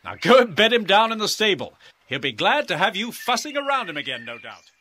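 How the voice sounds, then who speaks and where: Bright-voiced, firm father. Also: high-pitched, big pitch swings, exaggerated voice. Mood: kindly. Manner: brisk, authoritative. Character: firm, fair-minded father and male employer. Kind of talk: audio drama acting for children. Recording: faint background noise on cassette transfer.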